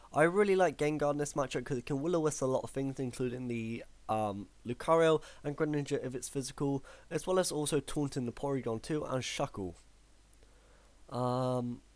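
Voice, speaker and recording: A man with a croaky voice commentating his own online battle, thinking aloud with frequent ums and self-corrections. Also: medium pitch, no echo. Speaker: gaming commentator. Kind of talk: live battle commentary over gameplay.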